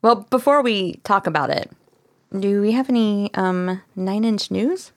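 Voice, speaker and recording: A woman's voice: mid-range voice, unhurried. A woman co-hosting a podcast in casual chat, laughing easily and reading quotes aloud. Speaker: podcast co-host.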